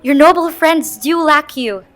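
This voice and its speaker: A woman's voice: high-pitched, measured pace, a bit bright. A woman playing a commanding, scornful queen in a dramatic reading of Shakespeare. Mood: composed, gracious. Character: commanding, scornful queen covering for her husband.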